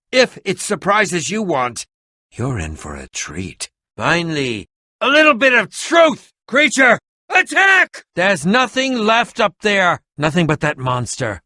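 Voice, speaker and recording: Casting: pompous video game villain, sneering potion-maker, bright, forceful voice, very dry close sound. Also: male voice, high voice, no room sound, heavily acted. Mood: gloating, bitter. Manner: pompous, sneering, melodramatic, over-enunciated. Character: haughty, scheming, theatrical villainous male potion-maker. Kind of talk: video game character acting.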